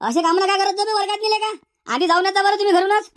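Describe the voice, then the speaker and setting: A man voicing an exasperated village schoolteacher in a comedy cartoon, hectoring in a Varhadi Marathi accent through a pitched-up effect. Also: fairly even intonation, quick pace, a bit bright, no room sound, clean studio sound. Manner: hectoring, repetitive, threatening.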